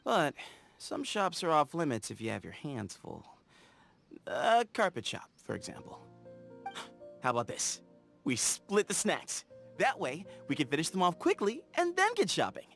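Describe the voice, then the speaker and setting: An actor voicing an easygoing young desert scout in a game, talking in an upbeat, playful way. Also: male voice, a little high, wildly swooping pitch, moderate pace, gravelly, fairly bright tone, faint music behind, heavily acted. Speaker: game character. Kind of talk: game voice lines.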